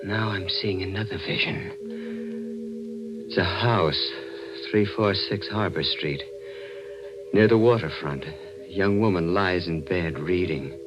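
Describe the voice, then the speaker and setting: An actor playing a sinister, brooding husband who claims to foresee murders, menacing and deliberate, in a 1940s radio mystery. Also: male voice, deep voice. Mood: eerie, ominous. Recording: muffled old radio recording with music.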